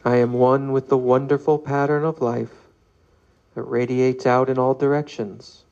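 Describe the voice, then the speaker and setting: Slow, gravelly man leading guided meditation. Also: medium pitch, highs cut off. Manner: calm, measured, with long pauses. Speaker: meditation leader. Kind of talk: guided meditation, read aloud.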